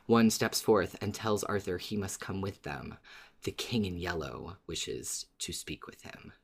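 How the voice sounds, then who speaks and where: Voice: low voice, expressive, a little slow, vocal fry, slightly rough. A podcast co-host who talks about a story in an emphatic, dramatic way, as a fan of it. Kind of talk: scripted recap, then animated fan discussion.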